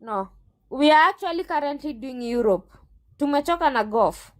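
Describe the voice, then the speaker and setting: High-pitched, assertive Kenyan woman. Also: expressive, normal speed. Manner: assertive, emphatic, repeats herself. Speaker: recruitment agent being interviewed. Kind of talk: combative interview answers.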